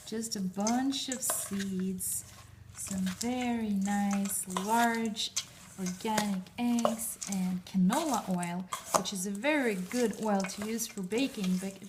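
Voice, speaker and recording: A young woman from Russia talks through a recipe at an unhurried, measured pace, her voice a touch higher than most. She chats casually and halts often on fillers and restarts. The sound is roomy and echoey, with the mic sitting some distance from her in a home kitchen. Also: background noise. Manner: chatty, halting, with many fillers and restarts. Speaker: cooking vlogger.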